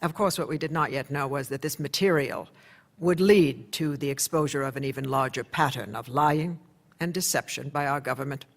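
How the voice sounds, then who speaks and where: An actor playing a male newspaper editor, narrating in a measured, reflective way. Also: mid-range voice, gravelly. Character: male newspaper editor looking back on the case. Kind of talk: radio play narration.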